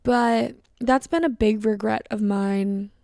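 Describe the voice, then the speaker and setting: A woman's voice: a little high, fairly even intonation, slow, creaky, warm tone, no echo, studio quality. A young woman hosting a podcast alone, talking candidly and reflectively about her own life, with frequent fillers and restarts. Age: young adult. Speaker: podcast host. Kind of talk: casual solo podcast monologue.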